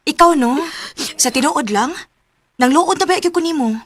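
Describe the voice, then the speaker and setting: A woman's voice: high-pitched, expressive, normal speed, music in the background. A woman playing a blunt, exasperated best friend in a radio drama, scolding emphatically with a Cebuano Filipino accent. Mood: hurt, reproachful. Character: blunt, exasperated young best friend who feels taken for granted.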